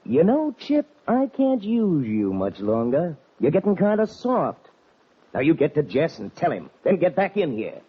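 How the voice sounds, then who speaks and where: An actor playing a scheming Western villain in an old-time radio drama, talking in a folksy, falsely fair drawl. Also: male voice, a little high, huge pitch swings, measured pace, slightly rough, full character voice. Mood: curt, menacing. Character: hard, scheming Western villain, a fatherly-sounding ranch boss. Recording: tinny, band-limited old radio recording.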